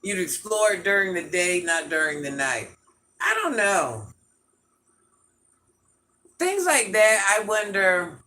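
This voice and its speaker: Man, livestream host, grandmother persona, high voice, very bright tone, scratchy throat, chatty. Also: sing-song. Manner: chatty, reacts to comments with laughter and quick asides. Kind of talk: casual live chat answering viewer comments.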